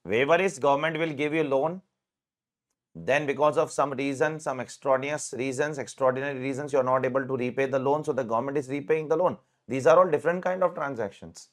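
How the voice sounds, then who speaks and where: A man's voice: mid-range voice, fairly quick. A man from Mumbai with an Indian English accent, a tax lecturer chatting casually and explaining terms step by step with friendly asides.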